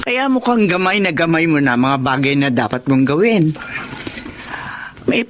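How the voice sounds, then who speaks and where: A woman's voice: low-pitched, lively intonation, normal speed. An older businesswoman and mentor in a radio drama. She sounds motherly, businesslike and reassuring, with very varied intonation over faint background noise. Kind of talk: Tagalog radio drama acting.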